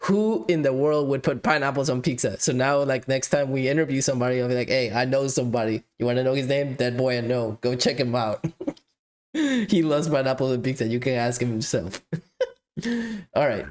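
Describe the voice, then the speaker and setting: Regular-pitched guy hosting a chill podcast, reads out chat questions, jokey. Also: a little fast. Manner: reads out listener questions, playful and laughing. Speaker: podcast host. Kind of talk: casual podcast interview.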